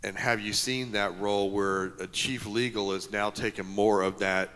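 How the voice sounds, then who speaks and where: A man in the audience, a compliance officer, asking a question in a loud, direct way with hesitant fillers. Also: on the low side, steady tone, moderate pace. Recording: echoey conference hall.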